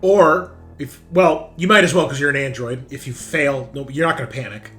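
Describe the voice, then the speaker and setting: A man's voice: medium pitch, moderate pace, a bit bright. Man running a tabletop game over music. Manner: explanatory, narrating action and rules. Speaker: game master. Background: background music.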